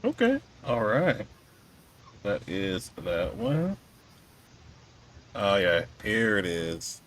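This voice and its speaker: A man's voice: on the low side, sing-song, extremely slow, fairly bright tone, slight background noise. A man hosting a casual podcast interview in an easygoing conversational style. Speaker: podcast host.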